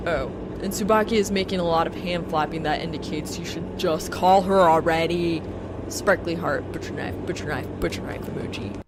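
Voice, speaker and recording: A woman's voice: fairly low pitch, moderate pace, noisy background, natural voice. A woman voicing a brash, foul-mouthed young man who teases in bombastic, slangy bursts for an audiobook. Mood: smug, teasing. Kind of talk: audiobook character acting.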